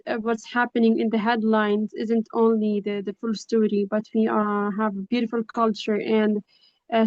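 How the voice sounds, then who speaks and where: A young woman introduces herself as a webinar guest. Her pitch is fairly high and stays very even. The room adds no echo, though the call audio is slightly dull. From Lebanon. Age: young adult. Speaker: guest speaker, project coordinator. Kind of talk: informal self-introduction at a webinar. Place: online video call. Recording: dry but dull, lo-fi video call.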